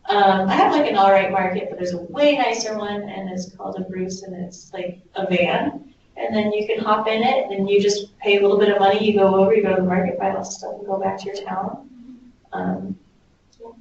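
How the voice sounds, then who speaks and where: A woman's voice: mid-range voice, fairly even intonation, a little fast, a little mellow. A young woman chatting loosely and conversationally as a volunteer on a group call. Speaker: Peace Corps volunteer. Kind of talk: casual chat. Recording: distant, echoey, dull, hissy conference call.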